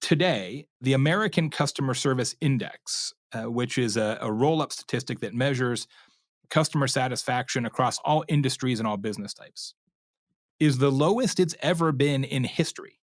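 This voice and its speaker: A man's voice: mid-range voice, moderate pace, no echo. Man explaining as an expert guest, dry sound. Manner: animated storyteller, acts out imagined customer quotes, checks in with "right?". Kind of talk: conversational interview explanation.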